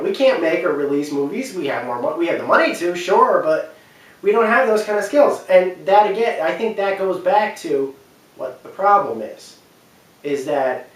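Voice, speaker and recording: A man giving an unscripted opinion talk as a video commentator, speaking emphatically in a halting, repetitive, rambling way. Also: mid-range voice. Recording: very echoey room, distant microphone.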